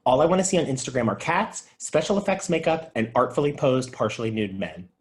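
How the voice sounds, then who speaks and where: A man's voice: mid-range voice, lively intonation, fairly quick, lightly acted. Goofy, chatty male video store clerk, acted. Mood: animated, dramatic. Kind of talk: table read of a comedy TV pilot.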